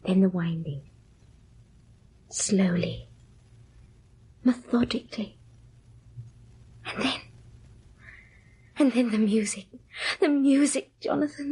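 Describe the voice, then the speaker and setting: Fragile young wife in a radio play, high husky hushed voice, dreamy and yearning. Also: female voice, heavily acted. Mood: entranced, yearning. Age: young adult. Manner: hushed, slow, dreamy, insistent. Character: fragile, wistful young wife clinging to waiting. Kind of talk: old radio drama acting.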